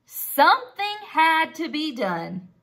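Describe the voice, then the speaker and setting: Slow, animated woman teaching young children. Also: high voice. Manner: addresses children with simple questions and praise. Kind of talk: expressive storybook read-aloud and lesson.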